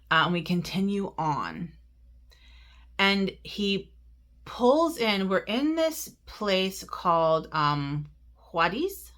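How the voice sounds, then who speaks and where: Lively, bright-voiced woman telling a story. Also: on the low side. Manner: chatty, lots of fillers and asides; extroverted. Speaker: crafting vlogger. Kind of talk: casual storytelling.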